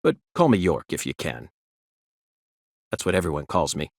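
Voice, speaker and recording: An eccentric FBI agent from a video game, with an American accent. His delivery is formal and self-assured, with theatrical swings in pitch. The sound is close and dry. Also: sing-song, moderate pace, vocal fry, dry recording, full character voice. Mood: composed, self-assured. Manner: formal, theatrical, musing aloud to an unseen companion.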